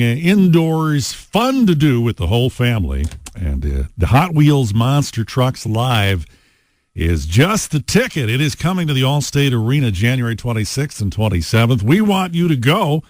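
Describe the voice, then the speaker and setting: A man hosting a rock radio show, talking in a relaxed, chatty way with frequent fillers between songs. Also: mid-range voice, moderate pace, no echo, studio quality. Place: radio studio. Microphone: studio microphone.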